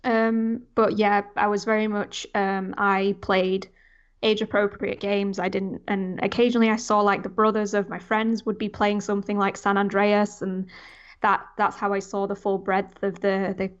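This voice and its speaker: A woman's voice: medium pitch, flat delivery, normal speed, smooth, highs cut off. A young woman chatting casually and informally on a gaming podcast, rambling as she goes. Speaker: podcast panelist.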